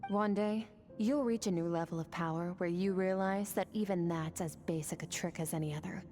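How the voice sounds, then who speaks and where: A woman voicing a stern, cool female swordmaster mentor who speaks in a calm, measured, instructive way. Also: fairly low pitch, soft background music, close to an everyday voice. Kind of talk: video game voice acting.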